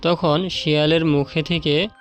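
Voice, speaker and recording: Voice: medium pitch, steady tone, measured pace. A narrator telling a scripted children's story as a storyteller. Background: background music.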